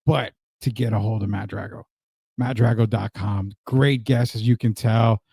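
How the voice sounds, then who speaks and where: A man's voice: on the low side, steady tone, normal speed, gravelly, mellow, slightly rough, no room sound. A man hosting an entertainment show, chatting informally with a guest. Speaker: host of an entertainment and sports show. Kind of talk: casual interview chat.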